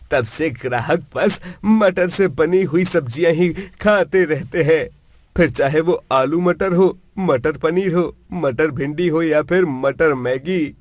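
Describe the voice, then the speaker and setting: A man's voice: fairly high pitch, no echo. A man voicing a cheerful, appreciative village eatery owner in a storybook tale. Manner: hearty, appreciative. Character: cheerful, grateful village dhaba owner customer.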